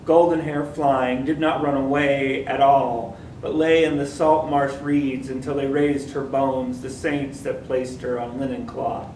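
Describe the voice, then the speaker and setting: A man in his thirties reading his poems at an open mic in a library room, in a measured, rhythmic way. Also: level tone, a little mellow. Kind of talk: poetry reading. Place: library meeting room, seated. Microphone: stand mic, far camera. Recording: echoey room, distant mic, faint rumble.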